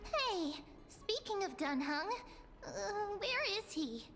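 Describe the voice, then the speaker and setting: A woman's voice: very high voice, sing-song, takes it slowly, a bit bright, soft background music, exaggerated voice. A woman voicing a small, perky, cheeky companion creature in a fantasy video game. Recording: long reverb, faint background noise.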